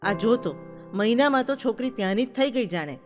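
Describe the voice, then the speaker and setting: A woman voicing an exasperated middle-aged mother in an audio story, grumbling loudly. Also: on the high side, expressive, a little fast, natural voice. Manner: loud, grumbling. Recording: long reverb, faint music behind.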